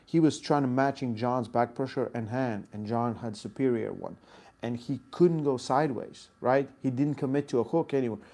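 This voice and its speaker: A man with a Latvian accent and a gravelly edge, answering chat questions as an arm wrestling coach. His pitch sits in a middle range, and he breaks his technique explanations into short, punchy bursts. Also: dark tone. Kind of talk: live Q&A answering viewer chat.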